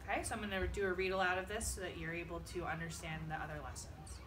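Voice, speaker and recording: A woman's voice: fairly low pitch, level tone, fast, recorded from a distance, noisy background. A woman teacher reading a children's picture book aloud to her class, lifting her voice for the characters' lines.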